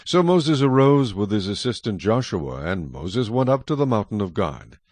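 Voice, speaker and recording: A man narrating scripture in a measured, solemn, scripted style for a dramatized audio Bible. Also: on the low side, very animated, darkish tone, no echo.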